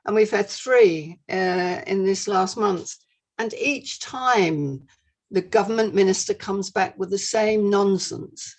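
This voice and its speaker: A woman's voice: mid-range voice, slow pace. A British woman lecturer answering questions in an online seminar, speaking in an earnest, explanatory way. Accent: British English. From United Kingdom. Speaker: guest lecturer.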